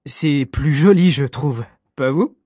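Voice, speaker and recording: Slow, clear man explaining words. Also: on the high side, dark tone, dry recording, clean studio sound. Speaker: interviewed language expert. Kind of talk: scripted educational interview.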